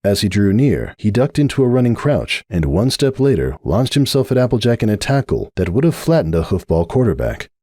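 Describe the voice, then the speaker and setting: A man's voice: lowish voice, sing-song, a little fast, few pauses, mellow, no room sound, studio quality. A man giving a dramatic scripted reading of a story as its narrator.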